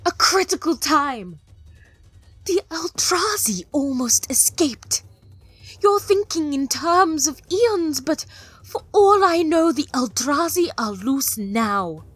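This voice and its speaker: An actress playing a proud, defiant stone mage, a wronged former student, earnest and emotional in an audio drama. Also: female voice, high-pitched, lively intonation, takes it slowly, a bit bright, no room sound, soft background music, heavily acted. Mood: indignant, urgent.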